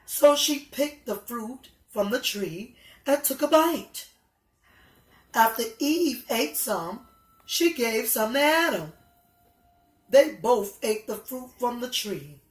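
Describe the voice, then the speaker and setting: Slow, fairly high-voiced woman reading stories. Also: animated. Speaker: children's story reader. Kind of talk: read-aloud children's storytelling. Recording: echoey room.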